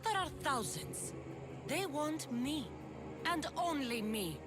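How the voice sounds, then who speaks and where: Game antagonist: intense, defiant young woman, slow delivery with big swings, music underneath. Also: sits high, big pitch swings, heavily acted. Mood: bitter. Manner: intense, proud, deliberate. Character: bitter, defiant young woman raised as a weapon. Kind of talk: dramatic video game cutscene acting. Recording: music behind the voice.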